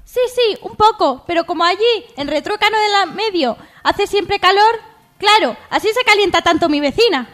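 A woman's voice: extremely high pitch, lively intonation, normal speed, a bit bright. A woman playing a gossipy, indignant village woman who rambles on in an absurd radio comedy sketch. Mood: chatty, gossipy. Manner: chatty, gossipy, digressing.

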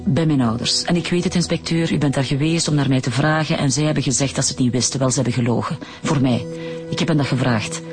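A woman's voice: extremely low pitch, quick pace, over music. An actress playing a proud, defensive married woman under questioning in a radio drama, with a Flemish accent. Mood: defensive, composed.

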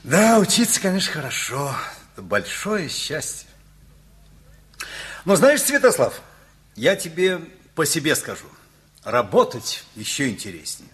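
An earnest, effusive engineer of middle age in a radio comedy. His voice is very husky and swings widely in melody. He muses and rambles with emphasis, easily flustered. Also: a little high, sing-song, measured pace, long pauses, a bit bright, heavily acted. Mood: dreamy, enthusiastic. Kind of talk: Russian radio comedy play acting.

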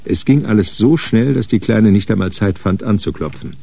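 A man narrating a crime radio drama in a measured, dry storytelling manner. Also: on the low side, expressive, gravelly, warm tone, slight background noise. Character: sober male narrator of a detective story.

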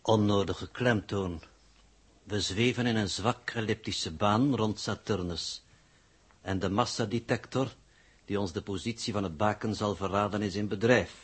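Alien elder: low, husky, formal, coldly sardonic, sixties radio drama. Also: male voice, measured pace. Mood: coolly superior. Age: older. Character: cold, superior, mocking alien in old man's guise. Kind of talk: 1960s radio drama acting.

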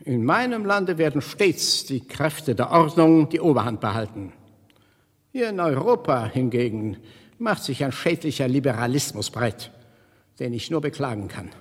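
An actor playing a stern, haughty Russian legation official who speaks in pompous, clipped officialese in a German radio play. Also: male voice, medium pitch, sing-song, moderate pace. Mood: disdainful, warning. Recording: reverberant hall sound.

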